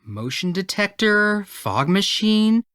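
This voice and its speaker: Eager nerdy ghost hunter, earnest and optimistic, super animated delivery, dry game audio. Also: female voice, medium pitch, slow, clean tone, dry recording. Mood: excitable, intrigued. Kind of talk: video game voice acting.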